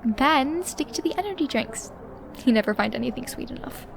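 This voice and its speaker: A woman plays a playful, bossy little sister in an audio drama. The voice sits high. The delivery is bantering and conversational, with a temper that flusters easily. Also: expressive, a little fast, gravelly, a little hiss or hum. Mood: teasing.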